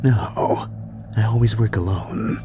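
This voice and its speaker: Gruff low game soldier, terse n stern, gravelly, loud music under it. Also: male voice, slow. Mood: stern, guarded. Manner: terse, interrogating, deadpan. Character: gruff, stern, battle-hardened male soldier, a lone operative. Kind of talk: video game dub impression.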